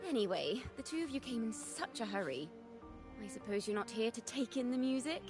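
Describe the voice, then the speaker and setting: A woman's voice: on the high side, expressive, fairly quick, lightly acted. A woman voicing a breezy, playful young female bard in a video game, with a theatrical, teasing storyteller's manner. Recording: game music behind the voice.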